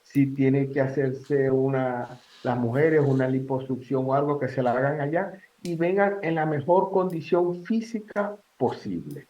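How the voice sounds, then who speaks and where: A Venezuelan man in his sixties, a guest giving measured advice in a casual interview, with frequent "este" fillers. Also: medium pitch, lively intonation, reverberant, highs cut off. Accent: Venezuelan Spanish. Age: 60s.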